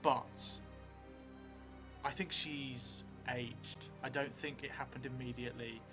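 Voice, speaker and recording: A middle-aged man thinking aloud as he improvises a story for a solo role-playing game, halting and musing. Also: medium pitch, steady tone. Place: small indoor room at a table. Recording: music behind the voice.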